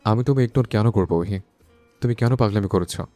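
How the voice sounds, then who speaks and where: A man's voice: lowish voice, fast, mellow, no echo, soft background music, close to an everyday voice. A man playing a brooding, lovestruck young son in an audio love story, earnest and insistent, mixing in English words. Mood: pleading, soothing.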